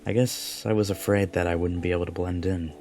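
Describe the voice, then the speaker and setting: Low-voiced, gentle cafe regular played by a man. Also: steady tone, a little fast, soft background music. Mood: sincere. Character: gentle, earnest, anxious male cafe regular.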